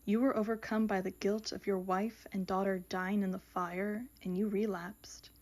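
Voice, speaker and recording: A woman's voice: medium pitch, level tone, a little mellow, natural voice. Woman playing a solemn all-knowing female grim reaper. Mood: solemn, consoling. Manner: measured, oracular, dramatic pronouncements. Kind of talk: audio mystery drama acting.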